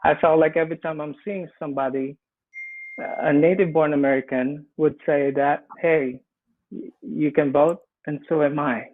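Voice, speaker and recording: Earnest Filipino-accented male panelist, slightly raised pitch, phone-quality audio. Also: steady tone. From Philippines. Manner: earnest, halting with frequent restarts. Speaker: immigrant small business owner. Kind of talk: panel discussion storytelling. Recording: tinny phone-like line.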